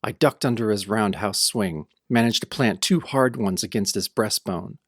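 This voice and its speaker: A man playing a brooding, tough hero who narrates an audio drama in the first person with grim, deliberate delivery. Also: fairly low pitch, no room sound, studio quality. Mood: grim, tense. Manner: grim, deliberate hardboiled storytelling. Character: brooding tough male hero recounting his nightmare. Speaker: narrator and protagonist.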